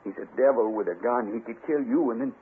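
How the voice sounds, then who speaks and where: A jittery small-town telegraph man played by a male actor in a western radio drama. His medium-pitched voice hesitates, protests and pleads. The recording is old, thin and faintly noisy. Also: fast, phone-quality sound, close to an everyday voice. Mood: pleading. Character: nervous, frightened small-town telegraph operator.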